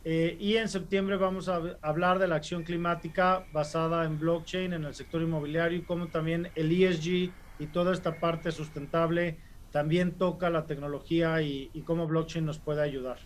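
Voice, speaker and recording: A man's voice: a little high, moderate pace, slight background noise. Man hosting a webinar. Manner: relaxed, many "eh" fillers. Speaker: webinar host.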